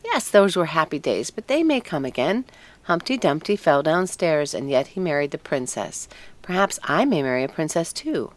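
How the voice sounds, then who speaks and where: Lady playing a young storybook fir tree, earnest n wistful, lowish voice that moves around a lot. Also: sing-song, normal speed. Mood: nostalgic. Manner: musing, earnest, self-absorbed. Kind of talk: storybook character voice.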